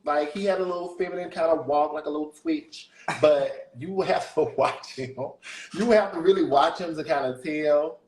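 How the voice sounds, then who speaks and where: A man with a deep voice, a guest musician chatting candidly in a casual interview and laughing often. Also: moderate pace, echoey room.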